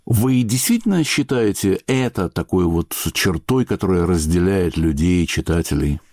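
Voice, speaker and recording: A man presenting as a radio host and interviewer, speaking in measured, literary phrasing as he narrates an essay and puts questions to a guest. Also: low voice, lively intonation, a little mellow, no room sound, studio quality.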